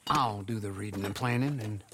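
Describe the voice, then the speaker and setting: An actor playing a pompous, wheedling older idler in a western video game, wordy and theatrical. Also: male voice, fairly low pitch, brisk, fairly bright tone, slight background noise. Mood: smug.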